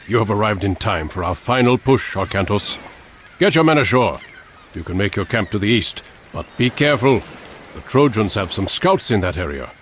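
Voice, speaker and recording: A man's voice: fairly low pitch, animated, normal speed, a bit bright, slight background noise. An actor playing a self-assured ancient Greek king in a video game, hearty, grandiose and commanding. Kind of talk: video game cutscene acting.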